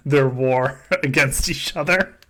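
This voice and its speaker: Male podcast host, mid-pitched, loose and jokey, casual banter with plenty of swearing. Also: takes it slowly, a bit bright. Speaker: podcast host running a fan survivor game. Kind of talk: casual joking chat.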